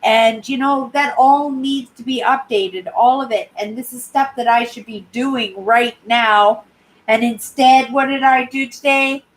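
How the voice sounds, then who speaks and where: A woman in her sixties from Canada, chatting casually on her live stream in a clear, high-pitched voice and often ending sentences with "right?". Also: fairly even intonation, unhurried, poor audio. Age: 60s. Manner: rambling, tags sentences with "right?". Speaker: YouTube channel host.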